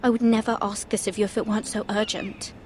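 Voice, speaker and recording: A woman's voice: mid-range voice, flat delivery, fast talker, a little mellow, slight background noise, lightly acted. An actress playing an earnest, anxious young lady-in-waiting in a video game, pleading in formal, deferential speech. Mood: urgent pleading. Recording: faint music behind the voice.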